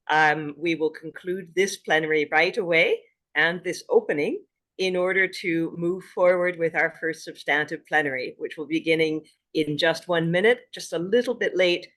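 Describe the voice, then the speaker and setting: A woman's voice: low voice, a bit bright. A woman co-chairs a formal online event. She speaks in a ceremonious, effusive manner as she moderates the session. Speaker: conference co-chair. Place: online video conference.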